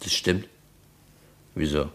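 A man's voice: extremely low pitch, takes it slowly, fairly bright tone, full character voice. A man playing a persistent, matter-of-fact police detective in a German crime radio play, talking in a dry, probing, conversational way. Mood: wry, puzzled.

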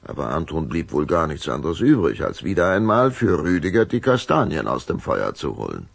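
Male narrator for children's radio play, deep and creaky, expressive delivery. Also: a little fast, no echo. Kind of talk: scripted audio drama narration for children.

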